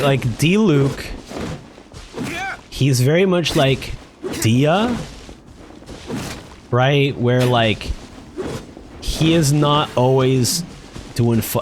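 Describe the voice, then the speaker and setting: A man giving casual gaming commentary, thinking aloud haltingly and repeating words as he goes. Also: mid-range voice, noisy background. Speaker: streamer. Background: game music.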